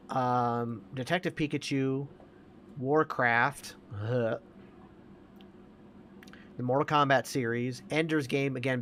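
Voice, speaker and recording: A man's voice: mid-range voice, fairly bright tone. Opinionated man in his forties, noisy background. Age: 40s. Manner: opinionated, emphatic, repeats himself for emphasis. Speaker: podcast co-host. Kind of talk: casual chat about movies.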